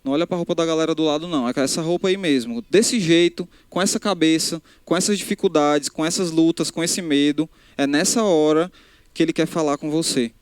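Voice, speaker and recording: A man's voice: a little high, brisk, clear, resonant tone, no room sound, studio quality. A young man preaching a casual live sermon, talking straight to the crowd and often restarting his sentences. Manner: conversational, addresses crowd directly, frequent restarts. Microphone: handheld microphone.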